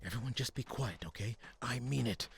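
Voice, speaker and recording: A man's voice: medium pitch, a little fast, gravelly, soft background music, natural voice. A man playing an exasperated, bossy supervillain leader in a parody radio play, snappish and commanding. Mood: irritated, threatening.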